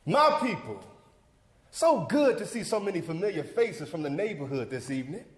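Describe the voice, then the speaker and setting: A man's voice: high voice, sing-song, normal speed. A man acting the part of a fervent older community leader, giving a folksy, rousing, preacherly speech in a theatrical monologue. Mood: folksy, welcoming. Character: John Wesley Dobbs, fervent older civic leader rallying a church crowd. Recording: echoey hall with long reverb.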